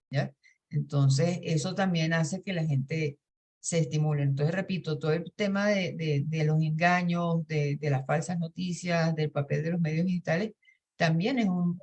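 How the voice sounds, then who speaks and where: Woman panelist, lowish voice, earnest, thinks aloud with fillers and tag questions. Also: a little mellow. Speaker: human rights researcher. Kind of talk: webinar panel talk.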